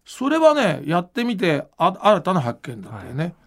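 A man talks in a high voice with plenty of creak in it. His pitch moves around freely as he explains things casually, like a host thinking aloud. The delivery is informal and animated. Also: moderate pace. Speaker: podcast host and manga creator.

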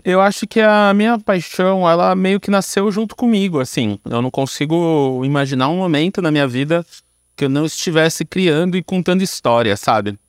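A Brazilian man in his thirties or early forties chats as a podcast guest. His voice is fairly high for a man and recorded very dry. He explains things in an informal, storytelling way, dropping in little tag words. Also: brisk, clean tone, dry recording. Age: 30s to early 40s. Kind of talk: casual conversational storytelling.

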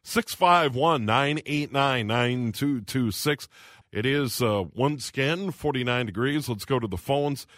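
A man hosting a radio call-in show from a studio, reading out phone numbers slowly and making brisk station announcements. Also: mid-range voice, a bit bright, dry recording. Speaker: radio host.

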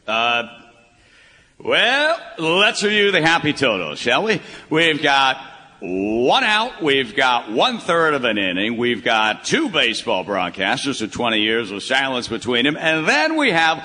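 In a reverberant hall with faint noise, a retired old sportscaster father. His delivery is forceful, swooping and showy, turning talk into play-by-play for radio comedy. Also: medium pitch, sing-song, a little slow. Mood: evasive, grandiose. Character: theatrical, evasive old retired baseball broadcaster father. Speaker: actor in a live radio play. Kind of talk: live radio comedy acting, sportscaster patter. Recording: echoey hall, faint noise, live stage.

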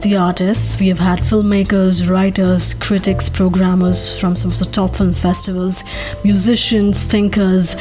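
A woman with a Punjabi accent, hosting a podcast and narrating from notes in an earnest, informative way, with light asides. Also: medium pitch. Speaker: podcast host.

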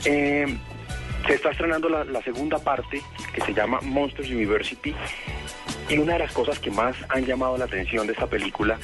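A man's voice: mid-range voice, brisk, over music. A man hosting a radio show, chatting casually and interviewing a guest in a rambling way.

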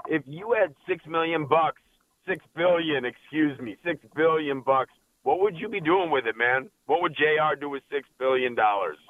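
A man with a raspy voice calling a radio show, friendly and effusive. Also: fairly high pitch, normal speed. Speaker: caller. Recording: tinny phone line, faint noise.

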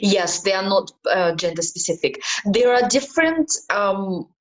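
A woman's voice: medium pitch, expressive, measured pace, poor audio. A woman from Ukraine and Kazakhstan, a relationship mentor, giving thoughtful explanations with many ums. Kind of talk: interview answers.

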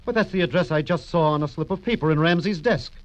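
Guy acting an earnest worried client, precise, a bit high pitched, dull old radio recording. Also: quick pace, lightly acted. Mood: insistent. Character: earnest, concerned male client in a wheelchair. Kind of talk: 1940s radio drama acting. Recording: muffled vintage radio recording.

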